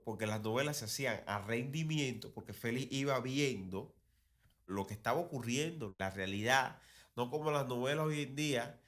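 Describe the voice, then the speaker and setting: A man's voice: mid-range voice, moderate pace, a bit bright. A man with a Cuban accent giving conversational, digressive and emphatic commentary as a soap opera commentator. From Cuba. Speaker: commentator on radio and television soaps.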